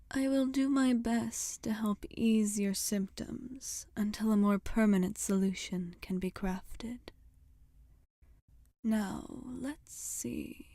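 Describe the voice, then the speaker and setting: Woman playing a slow, whispery, gentle witch healer. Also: medium pitch, no room sound, studio quality. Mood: reassuring, kind. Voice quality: soft, breathy, often whispering. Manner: slow, soothing, formal and tender phrasing. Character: gentle, caring witch healer tending a customer. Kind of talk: ASMR roleplay, soft-spoken and whispered.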